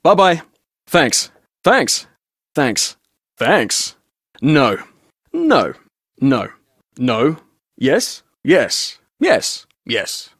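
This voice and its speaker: A man's voice: mid-range voice, huge pitch swings, extremely slow, pauses often, a bit bright, slightly rough, no room sound, clean studio sound, full character voice. A man with a British accent voicing a sarcastic, cash-strapped young tour guide in a video game. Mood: curt. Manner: sarcastic, joking. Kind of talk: video game character voice lines.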